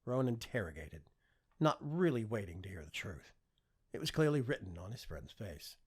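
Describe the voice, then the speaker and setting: A man narrating a fiction audiobook with expressive, scripted storytelling delivery. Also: on the low side, moderate pace, breathy edge.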